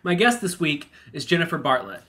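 A man's voice: a little high, very animated, a little fast, bright, forceful tone. A man hosting a music podcast, chatty and enthusiastic, his voice blocked up from a cold. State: congested from a cold. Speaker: podcast host. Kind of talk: podcast intro and interview.

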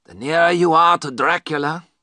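A man reading aloud, voicing a wise elderly professor in a grave, measured, authoritative way. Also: on the high side, pushed and bright, no echo, clean studio sound, voice pushed up.

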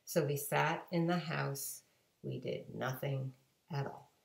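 A woman in her forties reading a storybook aloud to children with expressive, deliberate emphasis. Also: deep voice, gravelly. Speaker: guest storybook reader. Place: home kitchen-dining room. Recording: echoey home room, webcam microphone.

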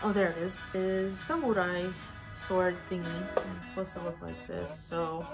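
Slow-paced young woman over music. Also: lowish voice, steady tone, vocal fry. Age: young adult. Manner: gushing over cute things, talks to objects. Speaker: vlogger unboxing collectible figures. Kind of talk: casual chat to viewers. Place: indoors, living room at home. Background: background music.

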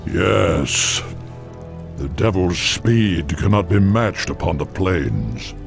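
Big old minotaur chief for a video game, proud n solemn, slow talker, faint music behind. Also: male voice, sits low, big pitch swings, gravelly, full character voice. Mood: grave, respectful. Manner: slow, solemn, formal speech. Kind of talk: fantasy video game acting.

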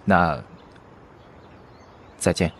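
A man acting in an audio drama as a subdued, lovelorn man, soft and hesitant. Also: very slow. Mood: subdued, hopeful. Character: subdued, lovelorn man lingering near his ex. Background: background music.

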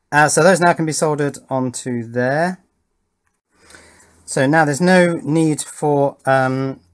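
A man with a medium-pitched voice talks casually through a practical job at his bench. He thinks aloud as he goes, in the informal style of a hobby demonstrator, and often tacks small questions onto his statements. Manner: thinking aloud while working, with tag questions. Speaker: host of an electronics build channel. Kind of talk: casual hands-on demonstration commentary. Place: indoors at a wooden workbench.

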